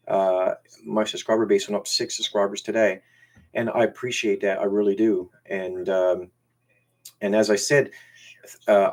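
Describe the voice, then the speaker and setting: Man with a Newfoundland accent, somewhat low voice, hosting a casual live stream with stop-start delivery. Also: a little mellow. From Newfoundland, Canada. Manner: halting, repeats words and restarts. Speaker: YouTube channel host. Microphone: camera used as webcam.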